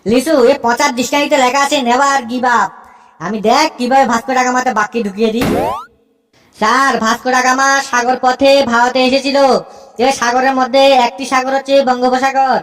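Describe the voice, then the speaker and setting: A woman's voice: high-pitched, steady tone, a little fast, fairly bright tone, a little hiss or hum. A woman voicing a cheeky, boastful cartoon schoolboy who recites in a rote, sing-song way. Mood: cocky. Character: cheeky schoolboy reciting essays with cocky confidence.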